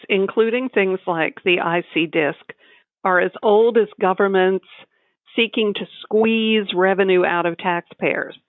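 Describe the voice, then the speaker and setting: A woman from the United States, a tax advisor, explaining in a measured, articulate way as a podcast guest. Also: on the low side, no echo. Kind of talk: podcast interview. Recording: tinny phone-like line.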